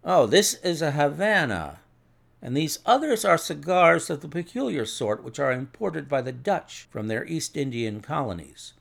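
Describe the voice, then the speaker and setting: A man voicing a cool, methodical detective in an audiobook, measured, precise and authoritative. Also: on the high side, big pitch swings, vocal fry, a bit bright, exaggerated voice. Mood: assured, analytical. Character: cool, methodical male detective, assured and analytical.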